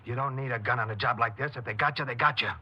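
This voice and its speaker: An actor playing a nervous, blunt, distrustful small-time burglar in a 1950s radio crime drama, with streetwise, colloquial speech. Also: male voice, medium pitch, quick pace, lightly acted. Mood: resentful. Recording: noisy, dull 1950s radio recording.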